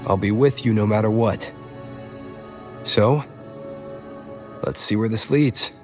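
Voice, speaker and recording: A man playing a loyal, supportive young companion in a video game, speaking calmly and reassuringly. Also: on the low side, takes it slowly, over music.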